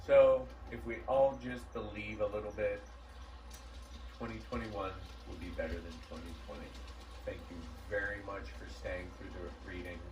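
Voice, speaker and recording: A man reading a children's story aloud in an animated, dramatic, drawn-out way. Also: on the low side. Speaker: story reader. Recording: roomy, distant mic, background noise.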